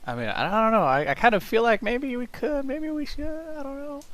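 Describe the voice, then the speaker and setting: A man's voice: very high-pitched, very animated, fairly quick, fairly bright tone. A man chatting casually and hesitantly while he works on an audio edit. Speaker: audio drama creator editing an episode. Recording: faintly hissy home microphone.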